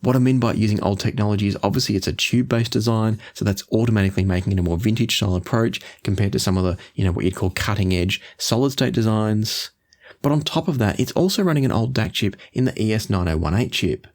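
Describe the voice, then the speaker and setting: A man hosting an audio gear review, explaining things in a measured, orderly way. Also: fairly low pitch, darkish tone. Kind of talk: product review to camera.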